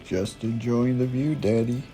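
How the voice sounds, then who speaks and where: An actor playing a sleazy, taunting barroom jerk in an audio drama, leering and mocking. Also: male voice, mid-range voice, fairly even intonation, unhurried, warm tone, faint music behind, natural voice.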